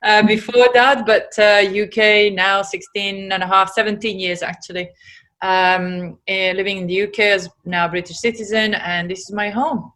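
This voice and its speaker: A woman's voice: mid-range voice, fairly even intonation, moderate pace, fairly bright tone. A woman in her forties from Serbia, speaking with a Serbian accent, talks about herself as an interview guest, hesitating often with fillers. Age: 40s. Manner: hesitant, many fillers, laughs easily. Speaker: guest entrepreneur. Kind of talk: casual interview chat.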